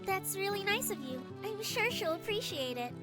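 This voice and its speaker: Very high, friendly schoolgirl over music. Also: expressive, moderate pace. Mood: friendly, bright. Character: friendly upbeat teenage schoolgirl, polite and airy. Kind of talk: visual novel game voice acting. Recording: music playing behind the voice.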